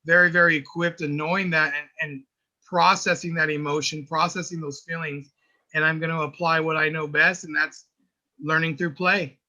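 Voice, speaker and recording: A man with a raspy voice who works as an early intervention teacher, talking earnestly in a casual podcast chat and often repeating words as he finds his point. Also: a little high, flat delivery, moderate pace, bright, forceful tone.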